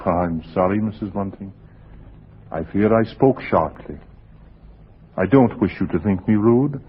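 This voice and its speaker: An actor playing a weary, pious and menacing gentleman lodger in 1940s radio suspense style, speaking formally and deliberately. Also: fairly low pitch, lightly acted. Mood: apologetic. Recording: muffled, noisy 1940s radio recording.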